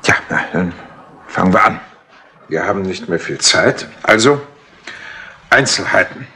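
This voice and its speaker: Harried, sharp-voiced colonel. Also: male voice, low voice, expressive, unhurried, full character voice. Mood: worried, impatient. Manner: fussy, exasperated, hesitant. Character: harried, impatient male colonel, worried senior official. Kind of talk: 1960s German radio crime play acting. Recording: noisy old 1960s tape recording.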